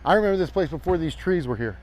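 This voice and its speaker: A man's voice: a little high, animated, fast talker, darkish tone, highs cut off, pitched above the performer's own voice, close to an everyday voice. A man voicing a possessive, crotchety old grandparent who rants belligerently and swears, in a comedic vlog bit. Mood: indignant ranting.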